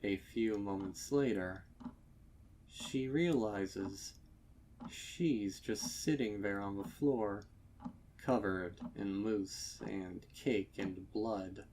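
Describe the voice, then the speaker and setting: Slow, dramatic male horror narrator. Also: lowish voice, a little mellow, a little hiss or hum. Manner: intense, theatrical, deliberate phrasing. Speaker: fan fiction narrator.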